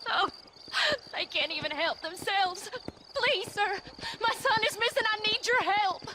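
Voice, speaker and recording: A woman's voice: very high voice, animated, a little slow, sharp tone, a little hiss or hum, heavily acted, rough recording. A woman playing a distraught frontier townswoman in a game, emotional and pleading. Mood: desperate pleading. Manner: plaintive, emotional. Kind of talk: dramatic game cutscene acting.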